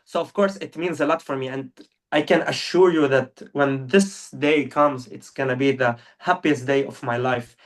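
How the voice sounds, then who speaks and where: A young man born in Lebanon, a non-native English speaker, talking earnestly as an interview guest, halting with many fillers. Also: mid-range voice, distant mic. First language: Arabic. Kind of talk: casual interview talk. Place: now living in Germany.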